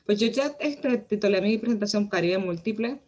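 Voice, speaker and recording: A woman from Rico, giving a lecture as a guest curator, often pausing with fillers and restarting her sentences. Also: mid-range voice, animated, a little mellow, muffled recording. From Puerto Rico. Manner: hesitant, many fillers and restarts. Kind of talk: lecture presentation.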